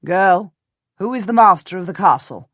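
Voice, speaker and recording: Lady doing a calm careful lord, low and steady, audiobook. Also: no room sound, studio quality. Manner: calm, measured, commanding. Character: steady, cautious nobleman husband, middle-aged. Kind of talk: audiobook character voice.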